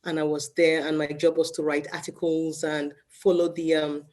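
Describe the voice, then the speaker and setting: Relaxed female guest from Nigeria, deep and warm tone, loose conversational delivery. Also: normal speed. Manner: relaxed, fills with "you know". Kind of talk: casual interview answers.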